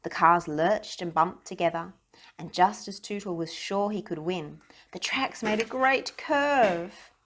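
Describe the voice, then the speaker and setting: Lower-pitched woman reading a storybook. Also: unhurried, fairly bright tone, a little hiss or hum. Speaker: children's book narrator. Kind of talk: read-aloud storybook narration.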